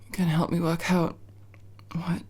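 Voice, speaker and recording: A woman playing an awkward, tired but fond tomboy friend in an intimate ASMR roleplay, speaking in a halting, hesitant, casual mumble. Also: on the low side, level tone, darkish tone, husky. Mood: sleepy, tender.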